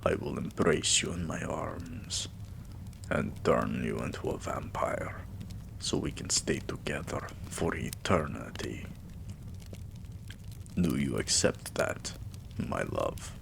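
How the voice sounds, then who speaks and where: A man playing a tender, devoted vampire king in a romantic ASMR roleplay, speaking in a hushed, breathy, soothing way that often slips into a whisper. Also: very deep voice, lively intonation, slight background noise, full character voice. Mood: loving, solemn. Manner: hushed, slow, soothing, affectionate.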